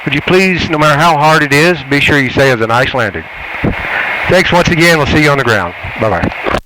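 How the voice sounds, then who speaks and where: A man, an airline captain, making an informal, folksy cockpit announcement with hesitant pauses over the PA. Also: a little high, expressive. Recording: noisy cockpit radio over cabin PA.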